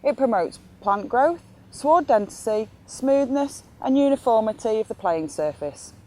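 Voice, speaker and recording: A woman's voice: on the high side, expressive, a little slow, a little mellow. A woman giving scripted instructional narration as an instructor in an educational film.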